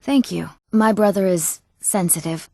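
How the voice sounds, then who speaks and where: A woman's voice: mid-range voice, unhurried, creaky, dark tone, dry recording, clean studio sound. An actress playing an eager, earnest young townswoman in a fantasy video game, speaking in an articulate, well-spoken way. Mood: matter-of-fact, earnest. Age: young adult.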